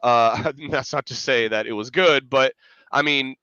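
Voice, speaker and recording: A man's voice: medium pitch, a little slow, sharp tone, clear, resonant tone, no echo, highs cut off. A man with a stuffy, congested voice from a cold, rambling in casual sports podcast chat with lots of fillers. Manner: rambling, filler-heavy, restarts often. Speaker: podcast co-host, fan analyst.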